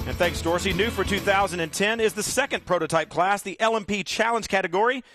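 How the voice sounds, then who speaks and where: A high-pitched male announcer anchoring a sports car race broadcast. He tosses smoothly to his reporters and gets louder and more excited as the green flag drops. Loud music plays under his voice. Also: fast. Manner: smooth hand-offs, rising to excited calls at the start. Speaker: lead play-by-play announcer.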